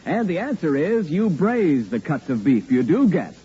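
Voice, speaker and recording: Animated 1940s radio announcer, a man. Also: on the high side, normal speed. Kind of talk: 1940s radio scripted advertising and narration. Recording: dull, noisy vintage radio recording.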